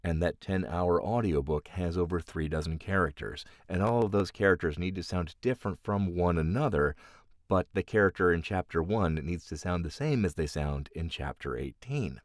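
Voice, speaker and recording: A man's voice: low voice, moderate pace, no room sound, clean studio sound. A man who works as an audiobook narrator and voice actor, explaining his craft in casual talk.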